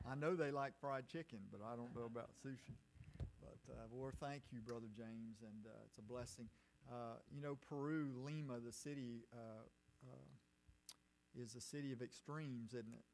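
Middle-aged man, church pastor, folksy, halting delivery, informal pulpit talk, faint background noise. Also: mid-range voice, fairly even intonation, creaky, poor audio. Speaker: church pastor hosting a missions conference. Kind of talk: informal address to a congregation.

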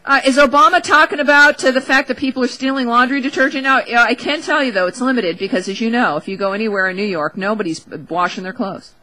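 A woman talk show host with a fairly high, bright and forceful voice. She riffs casually and with strong opinions, leaning on words for wry emphasis and talking straight to the listener. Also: fast talker, few pauses, low-quality recording. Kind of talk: opinionated casual radio monologue.

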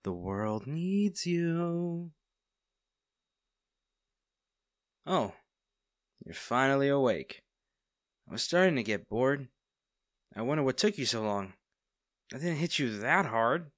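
A man's voice: mid-range voice, lively intonation, dry recording, lightly acted. A man playing a smug, teasing villain, close and dry. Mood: teasing, amused. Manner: teasing, coy, drawn-out pauses. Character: smug, teasing villain man, secretly fond of captive hero. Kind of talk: intimate scripted audio roleplay.

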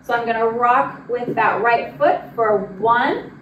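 Casting: young female dance instructor, high voice, counts steps aloud, recorded at a distance in a studio. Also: unhurried, highs cut off. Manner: directive, demonstrating while moving. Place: large indoor dance studio with wooden floor. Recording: roomy, distant mic with noise.

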